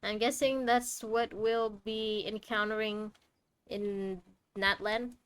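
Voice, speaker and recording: A woman's voice: mid-range voice, flat delivery, slow, a little hiss or hum. A woman doing casual live game commentary, reacting aloud in short muttered remarks. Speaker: game streamer.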